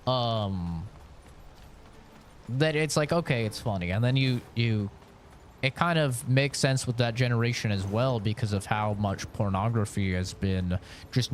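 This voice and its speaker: A man's voice: medium pitch, slight background noise. A man in his late twenties chatting casually while he streams a game, rambling frankly with lots of fillers. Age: late 20s. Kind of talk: casual chat while gaming.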